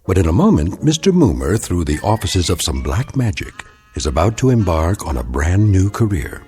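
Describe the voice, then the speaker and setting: A man narrating an uncanny anthology drama in a droll, measured, formal and faintly ominous way. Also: low voice, big pitch swings, dark tone. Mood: wry.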